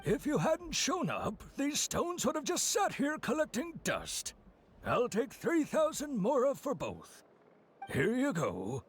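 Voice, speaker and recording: An actor playing a chatty, obliging middle-aged merchant in a video game, with a raspy voice and a folksy, animated way of telling things. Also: male voice, very high-pitched, slow pace, full character voice.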